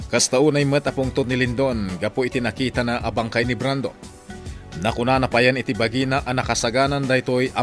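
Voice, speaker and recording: Male Ilocano narrator for radio serial recap, mid-range voice, dramatic scripted read over music. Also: medium pitch. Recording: music under the voice.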